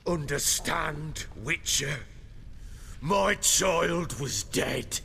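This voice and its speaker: An actor playing a grief-stricken, guilt-ridden lord in a video game, bitter and hot-tempered, with a coarse, dramatic delivery. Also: male voice, fairly high pitch, very slow, gravelly, pushed and bright, big-room sound, a little hiss or hum, heavily acted. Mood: grieving, desperate. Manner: anguished, dramatic, coarse-mouthed.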